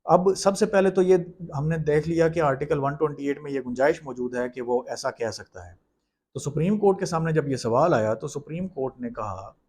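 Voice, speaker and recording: A man's voice: fairly high pitch, darkish tone. A man with a Pakistani accent explains law in an informal lecture style, mixing in English legal terms.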